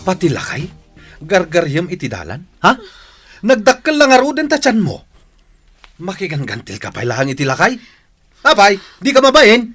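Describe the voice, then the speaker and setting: Man acting lazy, jealous husband, swinging pitch. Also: moderate pace, long pauses, husky, faint music behind, heavily acted. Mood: angry, accusing. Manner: scolding, demanding, animated. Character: lazy, jealous, sharp-tongued village husband. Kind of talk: Ilocano radio drama acting.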